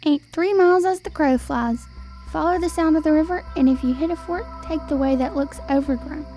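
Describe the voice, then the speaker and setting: A high, soft-edged voice for a sly old wandering storyteller woman, acted by a young woman. She speaks in folksy, old-fashioned turns of phrase for an amateur radio play. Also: a little fast, faint music behind. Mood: coaxing.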